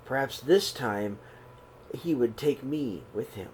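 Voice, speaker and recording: A middle-aged man reading a children's picture book aloud in a small room, slow and expressive. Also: mid-range voice, slightly rough. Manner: reads slowly and expressively, voices the characters' calls. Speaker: storybook reader. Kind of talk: read-aloud storytelling for children.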